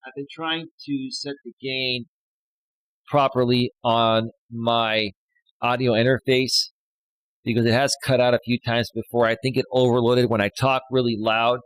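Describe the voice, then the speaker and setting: A man in his thirties with a loud voice, hosting a casual live stream as a life coach, chatty and full of fillers, often tagging on "right?". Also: mid-range voice, fairly even intonation, unhurried, smooth, dry recording, low-quality recording. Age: 30s. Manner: chatty, filler-heavy, keeps adding "right?". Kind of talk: casual live-stream talk, reading chat posts. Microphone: studio dynamic microphone.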